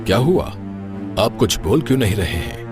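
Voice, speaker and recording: Man acting a noble, low-voiced king, music behind. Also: very animated, normal speed. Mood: calm, gracious. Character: calm, noble, authoritative Indian king, kindly and fair. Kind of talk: Hindi moral cartoon story acting.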